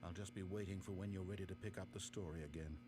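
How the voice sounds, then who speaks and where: An actor playing a pompous, sardonic and exasperated storybook narrator in a video game, speaking articulately in scripted narration. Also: male voice, deep voice, fairly even intonation, quick pace, background noise, close to an everyday voice. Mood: disdainful.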